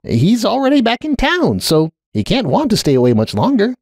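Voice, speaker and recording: A man's voice: high-pitched, wildly swooping pitch, normal speed, darkish tone, no room sound, studio quality, pitched above the performer's own voice, exaggerated voice. A man voicing a weary, anxious young male pony wizard who talks hesitantly and placatingly in a story reading. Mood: anxious, insistent.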